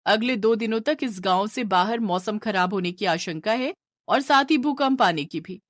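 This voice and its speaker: A woman playing a firm, sensible mother of grown children in a horror story, admonishing calmly. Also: mid-range voice, normal speed, no room sound, studio quality, close to an everyday voice.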